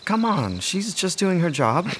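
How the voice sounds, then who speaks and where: An actor playing a kindly, awkward young manservant in an audio drama, placating and a little hesitant. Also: male voice, sits high, very animated, a little slow, a bit bright, a little hiss or hum, heavily acted. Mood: friendly, reassuring.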